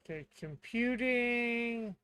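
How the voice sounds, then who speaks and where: A man thinking aloud in casual chat as a tabletop game player, hesitant as he deliberates over choices. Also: very high-pitched, animated, fairly bright tone, clean tone. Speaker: player creating a tabletop RPG character.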